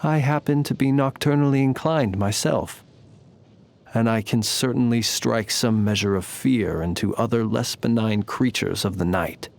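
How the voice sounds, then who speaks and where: A man voicing a calm, wry, ancient fae lantern keeper for a video game, speaking in formal, measured, theatrical phrases. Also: medium pitch, gravelly, lightly acted. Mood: dignified. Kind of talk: scripted video game character voice lines.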